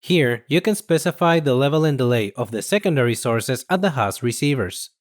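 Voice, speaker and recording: A man reading scripted instructional narration in a measured, explanatory manner. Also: mid-range voice, animated. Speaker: software tutorial narrator.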